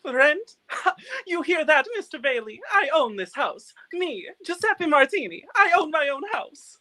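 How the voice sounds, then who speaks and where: A woman's voice: sits high, sing-song, a little slow, bright, forceful tone, slight background noise, exaggerated voice. A woman acting as a proud, exuberant immigrant male homeowner in a radio play.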